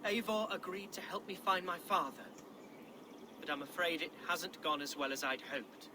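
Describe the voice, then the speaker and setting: A man's voice: high-pitched, fairly even intonation, normal speed, background noise, close to an everyday voice. An actor playing a young, tender-hearted Saxon nobleman in a game cutscene, earnest and courtly in manner. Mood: downcast. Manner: courtly, affectionate, florid. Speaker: video game supporting character. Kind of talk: dramatic game cutscene acting.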